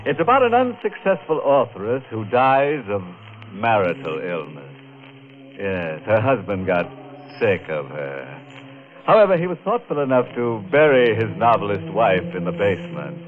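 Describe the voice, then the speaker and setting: Fields: Voice: deep ghoulish radio horror host. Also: male voice, sing-song, slight background noise. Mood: gleefully macabre. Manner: mock-solemn, drawn-out morbid puns. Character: ghoulish punning horror host, gleefully macabre man. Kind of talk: 1950s radio horror hosting, scripted. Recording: muffled old radio recording, faint music.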